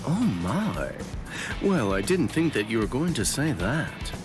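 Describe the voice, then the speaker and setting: An actor playing a sly, mocking villain's lieutenant in an anime game dub, with a teasing, falsely casual drawl. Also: male voice, medium pitch, very animated, unhurried, over music.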